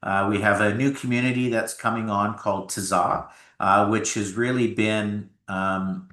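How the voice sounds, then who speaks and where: A man's voice: on the low side, fairly even intonation, moderate pace, a bit bright, echoey room. A man from Alberta, the founder of a home builder, talking upbeat in a business interview with frequent fillers.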